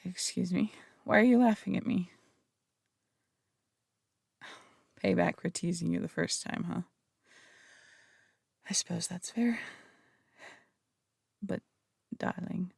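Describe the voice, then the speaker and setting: A woman's voice: lowish voice, a little slow, creaky, pauses often, a little mellow, slightly rough, no echo, studio quality, exaggerated voice. A woman playing a flirtatious, theatrical female phantom thief in an intimate audio roleplay, coaxing and teasing, with slight stammers. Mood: flustered. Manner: coaxing, teasing, hesitant stammers between polished phrases. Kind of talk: intimate audio roleplay, acted monologue to listener.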